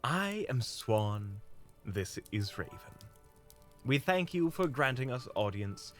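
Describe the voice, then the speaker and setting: A man playing a courteous, smooth-talking monster hunter in a fantasy audio drama, with formal, flowery politeness. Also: medium pitch, very animated, slow pace, a bit bright, faint music behind. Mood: courteous, persuasive. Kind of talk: fantasy audio roleplay acting.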